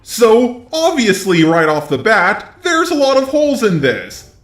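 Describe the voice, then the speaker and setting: A man narrating scripted online commentary in a deliberate, sardonic manner. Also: high voice, huge pitch swings, fairly bright tone. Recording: roomy echo, faint music. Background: faint music.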